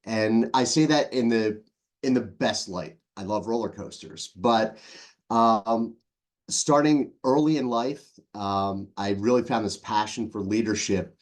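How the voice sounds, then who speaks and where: Philly-accented man in his forties. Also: lowish voice. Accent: Philadelphia. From Philadelphia, US. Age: 40s. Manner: relaxed storytelling with fillers and restarts. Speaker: guest, leadership coach. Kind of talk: casual interview.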